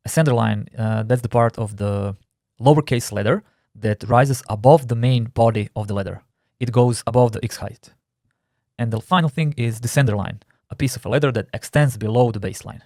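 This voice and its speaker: A man's voice: medium pitch, fairly quick, a little mellow, dry recording, clean studio sound. A man with a Croatian accent, a non-native English speaker, explaining typography terms in a careful recorded course narration. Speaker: typography instructor.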